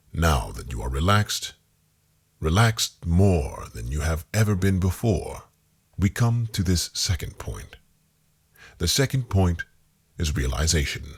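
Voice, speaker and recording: A man with a very low, husky voice leads a guided hypnotic meditation. He reads in deliberate, soothing phrases, yet his pitch moves widely as he goes. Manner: slow-phrased, calming, deliberate, repetitive suggestion.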